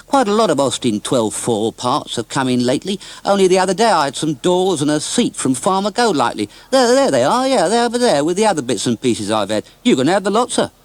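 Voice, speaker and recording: A man's voice: on the high side, big pitch swings, brisk, hardly any pauses, no room sound, pitched above the performer's own voice, poor audio. A man voicing a helpful, rambling scrap merchant in a children's story, hesitant and repeating himself. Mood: chatty, obliging.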